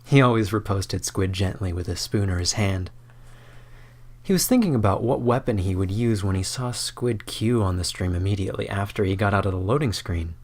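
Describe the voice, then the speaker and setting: A man's voice: on the low side, normal speed. A man reading his own fan fiction as a dramatic, mock-serious, theatrical narrator.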